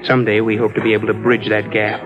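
A man's voice: lowish voice, monotone, quick pace, natural voice. A man acting in a 1950s radio drama as a stubborn, lovestruck believer, speaking sincerely and insistently. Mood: sincere, hopeful. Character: stubborn, lovestruck man convinced of parallel worlds. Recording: dull vintage radio recording with music.

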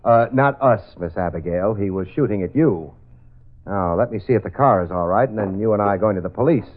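Male actor as streetwise, sardonic American detective for vintage radio drama; lower register, narrow tinny sound. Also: fairly quick, lightly acted. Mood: brisk. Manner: dry, sardonic, hesitant asides. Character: wisecracking male private detective, wry and streetwise. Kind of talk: 1940s radio detective drama acting, with first-person narration. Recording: tinny old radio recording, music.

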